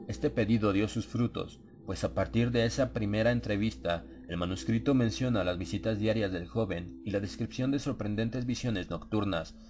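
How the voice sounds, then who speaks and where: A man narrating a dramatized horror audiobook in a measured, solemn storytelling manner. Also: fairly low pitch. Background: ambient sound effects.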